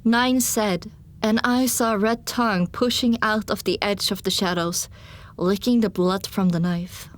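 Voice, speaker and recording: A woman narrating an audiobook in the first person, dramatic, grave, deliberate and intense. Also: mid-range voice, steady tone.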